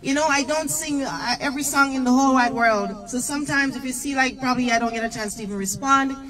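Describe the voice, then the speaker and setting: A woman in her thirties, a gospel singer, chatting live with viewers from a parked car into a handheld mic, lively and greeting people by name. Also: sits high, fast talker, far from the mic, low-quality recording. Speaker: gospel singer hosting a morning livestream. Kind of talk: casual live chat between songs. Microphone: handheld microphone.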